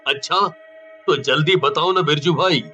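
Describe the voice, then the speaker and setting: A man voicing a gullible, earnest village farmer in an animated story, speaking deliberately. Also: on the high side, highs cut off, faint music behind. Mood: curious.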